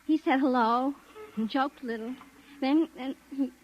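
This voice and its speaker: A woman playing a shaken young American maid in a 1950s radio drama. Her voice is high, upset and insistent, over faint noise. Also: normal speed, dull audio, lightly acted. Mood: upset, weary. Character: distraught young working-class maid, shaken eyewitness.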